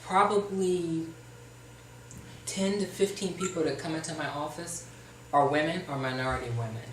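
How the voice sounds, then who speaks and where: A woman therapist appearing as a talk show guest, speaking passionately yet measured about depression and the stigma around it. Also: sits low, a little hiss or hum. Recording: roomy sound from a distant mic.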